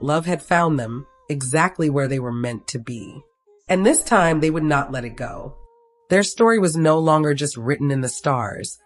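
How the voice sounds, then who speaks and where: A narrator reading a scripted romantic story, telling it in the third person. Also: medium pitch.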